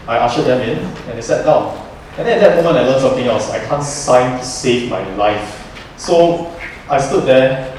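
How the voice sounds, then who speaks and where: A man's voice: medium pitch, a little mellow, slight background noise. A young man, a student presenter, talking to a class in a chatty, joking storytelling way. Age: young adult. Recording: roomy echo from far off.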